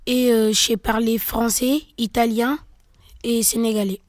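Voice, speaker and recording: A young woman in her late teens from Senegal calmly gives a personal testimony as a student. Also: on the high side, unhurried, no room sound, studio quality.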